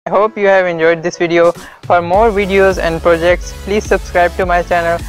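A man's voice: high-pitched, fairly even intonation, moderate pace, over music, low-quality recording. A young man outdoors, politely greeting viewers and introducing his project to a camera a few steps away. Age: young adult. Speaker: project presenter. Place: outdoors by a tree in a yard.

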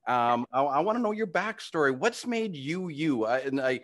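A man's voice: a little high, big pitch swings, normal speed, fairly bright tone. A middle-aged man hosting a podcast interview over a video call, asking enthusiastic, encouraging questions. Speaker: podcast host. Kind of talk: casual interview talk. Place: home studio with brick wall. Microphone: studio mic on video call.

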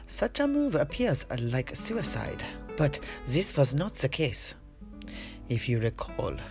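A man playing a seasoned adventurer who recounts fantasy tales in deliberate, dramatic phrasing. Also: mid-range voice. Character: weary male adventurer from a fantasy Italian city, recounting tales. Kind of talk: in-character fantasy storytelling. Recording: music behind the voice.

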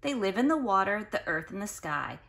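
A woman reading a picture book aloud to young children like a teacher, expressive and carefully spoken, with explanatory asides and questions. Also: medium pitch, a little fast, creaky.

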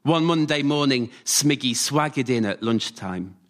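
A man performing a scripted autobiographical story live, delivered in measured short phrases. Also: medium pitch, expressive, a bit bright. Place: radio theatre stage, before a live audience.

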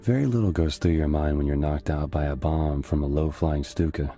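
Very deep, haunted young soldier narrator. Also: male voice, a little fast, dark tone, faint music behind. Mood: bleak, resigned. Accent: American. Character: weary, haunted young American soldier reflecting on war. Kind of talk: scripted game narration.

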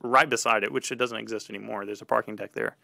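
A man telling a personal story in a casual, animated way on a podcast. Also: on the low side, fast talker, vocal fry, no room sound, clean studio sound.

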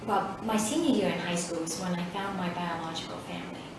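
A woman's voice: on the low side, fairly even intonation. Reflective woman in her fifties, echoey room. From Vietnam. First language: Vietnamese. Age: 50s. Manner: hesitant, reflective storytelling with many pauses. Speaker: interviewee. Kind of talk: oral history interview. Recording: echoey room, distant mic, background noise.

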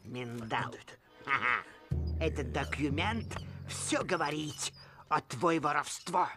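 A man's voice: fairly high pitch, slow, music in the background. A man playing a scheming foreign blackmailer in a cartoon, with a German accent and broken, accusing speech.

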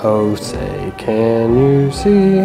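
A man's voice: medium pitch, very animated, extremely slow, vocal fry. Ohio guy, chill, asking stuff, medium voice, music under it. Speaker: game contestant. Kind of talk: casual game show banter.

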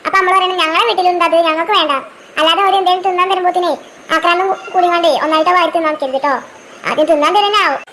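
A performer voices a boastful cartoon village girl with an extremely high voice. She rattles off instructions, sounding like a know-it-all elder sister. A faint noise sits behind the recording. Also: steady tone, rapid-fire, bright, forceful tone. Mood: bossy. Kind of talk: amateur cartoon dubbing.

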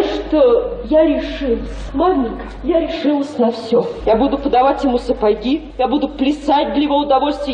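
An actress playing a proud, bitter young daughter in a radio play, declaiming with tense formality. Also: female voice, sits high, mellow, reverberant, close to an everyday voice, rough recording. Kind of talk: radio drama acting.

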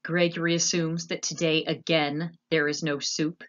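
A woman voicing a gruff, brooding old male jailer, solemn and declamatory, in a storybook reading. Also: sits low, flat delivery, normal speed, lo-fi sound, natural voice. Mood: grumbling. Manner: speaks of himself in third person, declamatory.

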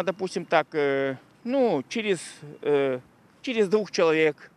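A man interviewed on the street, speaking haltingly and searching for words into a handheld microphone. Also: high-pitched. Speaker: interviewed passer-by. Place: city park, outdoors. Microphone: handheld reporter microphone.

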